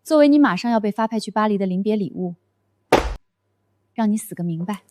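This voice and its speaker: A woman playing a commanding, amused elder sister in an animated romance drama, with a cool, sardonic manner. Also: mid-range voice, a little fast, background noise, natural voice.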